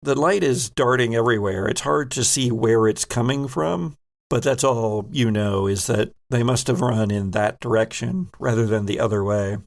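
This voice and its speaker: A man's voice: mid-range voice, moderate pace, creaky. A man running a tabletop horror game as game master, improvising suspenseful narration. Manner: suspenseful, second-person scene-setting.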